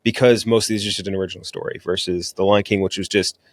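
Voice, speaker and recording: A man's voice: low-pitched, steady tone, fast, no echo, clean studio sound. A man hosting a casual movie podcast, talking in a blunt, slangy, profane way. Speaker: podcast host reviewing movies.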